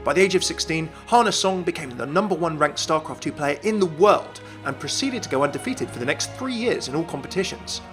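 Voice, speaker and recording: A man's voice: fairly high pitch, expressive, a little fast, few pauses, a bit bright, soft background music. A man narrating a scripted video game explainer as a YouTube host, enthusiastic and explanatory.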